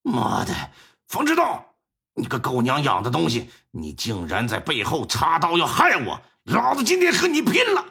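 A man voicing a hot-headed young male prisoner who curses and shouts in a told story. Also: a little high, wildly swooping pitch, normal speed, gravelly, exaggerated voice. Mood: furious. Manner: shouting, cursing.

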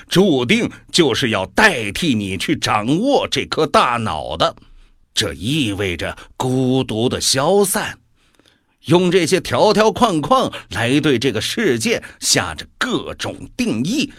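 An actor playing a sardonic, world-weary devil in an audio drama, musing deliberately with sighs. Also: male voice, mid-range voice, fairly bright tone, dry recording, clean studio sound, heavily acted. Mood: musing, menacing.

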